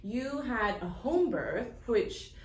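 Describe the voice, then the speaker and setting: A woman's voice: on the high side, sing-song, slight background noise. Woman, podcast host, bubbly, frequent laughs, echoey room. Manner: bubbly, laughs often, quick affirmations. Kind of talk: casual interview chat.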